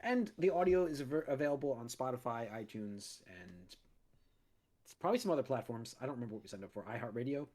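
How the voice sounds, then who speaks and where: A man hosting a casual podcast at his studio mic, his mid-range voice a touch raspy from a recent cold. He talks in loose, rambling runs, stopping and restarting often. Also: medium pitch, animated, quick pace, darkish tone. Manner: rambling, many restarts and fillers. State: recovering from a cold, slightly raspy. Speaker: podcast host. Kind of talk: casual chat.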